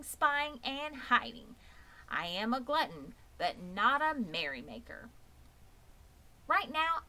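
A woman's voice: a little high, pushed and bright, voice pushed up, full character voice, low-quality recording. A woman reading aloud and voicing a crafty, gluttonous male rat who talks sourly and haughtily. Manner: sour, haughty.

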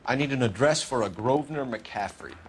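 A man's voice: mid-range voice, expressive, brisk, slight background noise, lightly acted. An American man playing an earnest, by-the-book young homicide detective in a video game, clipped and formal. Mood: businesslike. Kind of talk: video game cutscene acting.

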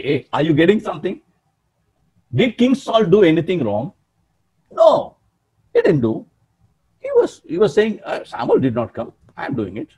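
A man in his sixties preaching a sermon in an emphatic, animated way, often calling out to his listeners, over a webcam in a small room. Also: a little high, slightly rough. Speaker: pastor.

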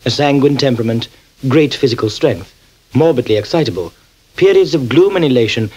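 Male narrator for a radio play: husky, measured, formal delivery. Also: mid-range voice, expressive, a little mellow, poor audio. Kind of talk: scripted narration.

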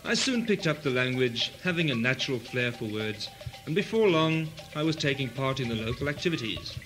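A man's voice: mid-range voice, sing-song, a little fast, music in the background, close to an everyday voice, poor audio. A man playing an aggrieved, pompous adventurer telling his past in a comic radio melodrama. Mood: solemn, weary.

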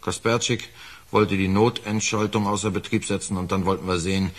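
A working man questioned by police in a radio crime play. His voice is low and husky. He talks colloquially and defensively, often repeating himself. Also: fairly even intonation, brisk. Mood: explaining, uneasy. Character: defensive working-class lift fitter under police questioning. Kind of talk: naturalistic German radio drama acting.

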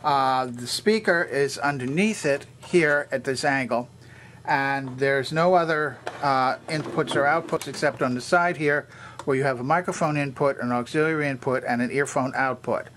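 A man's voice: mid-range voice, moderate pace, fairly bright tone, a little hiss or hum. An older man giving a methodical, hands-on explanatory tour as a repairer demonstrating equipment. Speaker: vintage electronics repairer and demonstrator.

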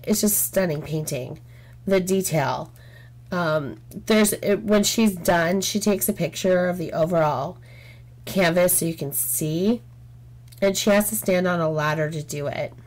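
A woman chatting casually while she works on a craft, frank and rambling with lots of "um"s, her throat getting sore. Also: on the low side. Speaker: craft channel host. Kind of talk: casual chat while diamond painting. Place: home craft table, indoors.

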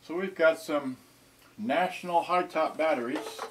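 Older man muttering over clear background noise. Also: a little high. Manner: halting, muttering to himself while handling items. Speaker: vintage electronics unboxing vlogger. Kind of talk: casual off-the-cuff demonstration talk. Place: small cluttered indoor storage room.

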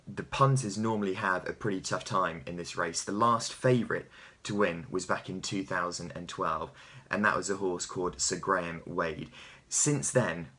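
A man's voice: deep voice, bright, forceful tone, breathy edge. A man in his thirties giving horse racing tips to camera with an emphatic, punchy delivery. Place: small home office, indoors.

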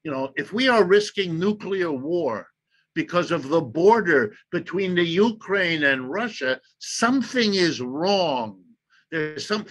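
A man's voice: on the high side, fairly bright tone. An older man, an economist guest, lecturing emphatically from a home study over a video call and gesturing as he talks. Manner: emphatic, lecturing, gestures animatedly with his hands. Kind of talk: impassioned explanatory commentary.

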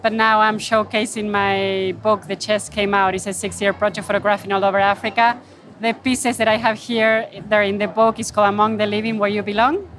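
A woman speaking earnestly in an unscripted interview, pausing to find her words, on a handheld microphone. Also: medium pitch, flat delivery, a bit bright. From Argentina. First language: Spanish. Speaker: wildlife photographer interviewed at her exhibit. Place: gallery booth at an indoor art fair.

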